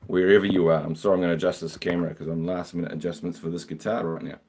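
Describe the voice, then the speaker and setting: Slightly croaky middle-aged man chatting. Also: low-pitched, little pitch movement, very fast, darkish tone. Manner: chatty, reads out viewer comments. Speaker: guitar teacher and live-stream host. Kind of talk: casual live-stream chat.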